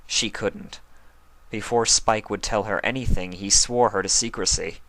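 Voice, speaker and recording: A man's voice: on the low side, fairly even intonation, unhurried, fairly bright tone, no echo, rough recording. A man voicing a solemn pony princess.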